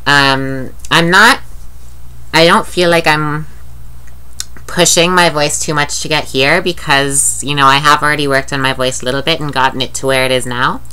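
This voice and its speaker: A woman's voice: low voice, steady tone, normal speed, sharp tone, clean tone. A woman with a nasal voice, talking in a rambling, candid video diary and swearing casually. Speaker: vlogger.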